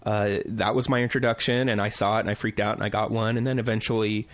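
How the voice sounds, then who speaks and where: A man from Oregon hosting a casual podcast, telling long stories with a thin, squeaky voice and a broken cadence full of pauses and ums. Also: little pitch movement, no room sound. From Oregon, USA. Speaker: podcast host. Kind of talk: casual storytelling chat.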